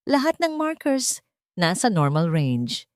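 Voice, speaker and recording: Woman narrator with lively, swooping low pitch. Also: takes it slowly, no room sound, studio quality. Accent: Filipino. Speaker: story narrator. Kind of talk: dramatic scripted narration of a romance drama.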